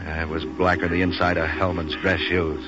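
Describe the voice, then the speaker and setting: An American actor playing a street-wise, wisecracking waterfront man for hire in 1940s radio noir, with a clipped, sardonic, deadpan delivery. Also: low voice, normal speed, music in the background, lightly acted. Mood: tense, deadpan. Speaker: lead character and narrator. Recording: dull, hissy 1940s radio recording.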